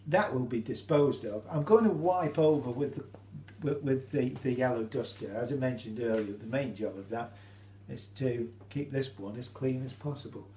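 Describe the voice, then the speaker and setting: British guy doing a casual how-to, soft mellow voice, stop-start explaining, echoey room. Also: medium pitch. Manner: hesitant, halting, explanatory. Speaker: hobbyist demonstrator. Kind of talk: informal how-to demonstration. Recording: roomy echo, faint hum.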